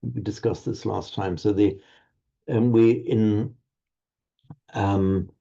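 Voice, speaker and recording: A man teaching Sanskrit pronunciation in an online group lesson, halting and restarting words, encouraging his students. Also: lowish voice, fairly even intonation, creaky, dark tone, breathy edge, highs cut off.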